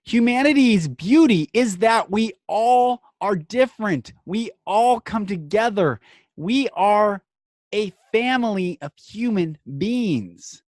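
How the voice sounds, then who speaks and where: A man hosting a podcast, speaking in an earnest, emphatic inspirational monologue broken into short phrases with dramatic pauses. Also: sits high, expressive, a little mellow, no echo, clean studio sound.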